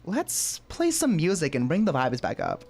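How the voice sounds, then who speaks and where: A woman giving casual gameplay commentary as a streamer, reacting in an expressive, sympathetic and jokey way. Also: low voice, normal speed, slightly rough.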